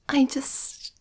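A woman voicing a shy, sweet, anxious young werewolf girlfriend in a hesitant, soft, half-whispered ASMR roleplay.

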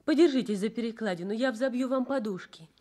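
An actress playing a gentle, brisk young hospital nurse in a Soviet radio drama, soothing and matter-of-fact. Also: female voice, on the high side, natural voice. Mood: soothing, caring.

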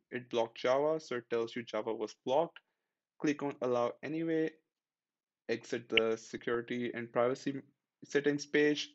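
Man giving plain step-by-step software instructions. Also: mid-range voice, dull audio. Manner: plain step-by-step instructions, friendly and matter-of-fact. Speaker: tech tutorial host. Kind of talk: screen-recorded software tutorial.

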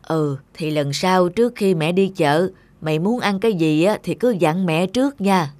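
A woman's voice: on the low side, moderate pace, darkish tone, no echo, close to an everyday voice. A woman playing a doting, frugal elderly village grandmother in a cartoon, homely and apologetic. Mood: homely, gentle. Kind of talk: cartoon dialogue.

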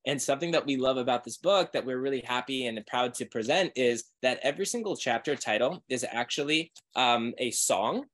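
A man talking energetically as an author in a video-call webinar, pitching his book. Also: a little fast, few pauses. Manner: energetic, promotional. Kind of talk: webinar conversation.

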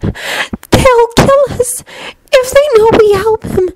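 Woman putting on a calm kind teen girl prisoner for a story, high soft voice, gently firm. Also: moderate pace, no echo, rough recording. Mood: urgent, hushed.

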